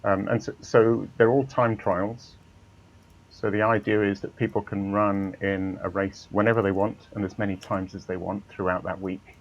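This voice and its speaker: A man with a low, gravelly voice explains things in an easygoing, measured way. He comes through a voice-chat line that sounds a little dull and muffled. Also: level tone. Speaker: guest, racing club organizer. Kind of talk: casual interview over voice chat.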